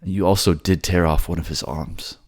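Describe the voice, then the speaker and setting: A man's voice: sits low, fairly even intonation, moderate pace. Man playing a tender, stammering young fantasy prince. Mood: somber, halting. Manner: hesitant, stammering. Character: kind, tender young prince, shaken and remorseful. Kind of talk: fantasy audio drama acting.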